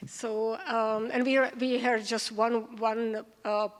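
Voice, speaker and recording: A woman's voice: on the high side, flat delivery, takes it slowly. A woman moderating an on-stage literary conversation as a scholar, hesitant and restarting her phrases. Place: large hall, public event. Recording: reverberant hall.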